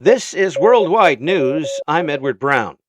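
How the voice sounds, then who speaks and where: A man playing a radio network news anchor, reading a scripted newscast in a formal, measured announcer's delivery. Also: fairly high pitch, expressive, no echo.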